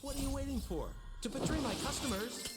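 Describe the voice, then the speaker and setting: A woman's voice: high voice, fast, natural voice. A woman playing a self-assured, teasing merchant fighter in a video game. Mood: sly. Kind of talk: video game combat lines. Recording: loud game music and effects over voice.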